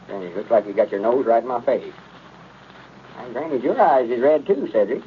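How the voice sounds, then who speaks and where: An actor playing a know-it-all, easily exasperated old storekeeper with a rural Southern accent in a 1930s radio comedy. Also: male voice, mid-range voice, expressive, brisk, lightly acted. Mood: folksy. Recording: dull, noisy old radio recording.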